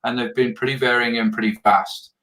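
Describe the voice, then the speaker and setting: Male podcast host on a video call, mid-pitched, earnest, stumbles and repeats as he thinks. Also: level tone, brisk, bright, forceful tone, poor audio. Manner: earnest, repeats words when searching for phrasing. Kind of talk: casual video interview.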